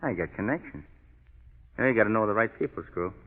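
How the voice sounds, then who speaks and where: An actor in a 1940s radio drama playing a cocky, boastful convict who talks tough slang, on a lo-fi old broadcast. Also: male voice, fairly low pitch, expressive, a little fast, big-room sound. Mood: swaggering. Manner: swaggering, laughing, tough-guy slang.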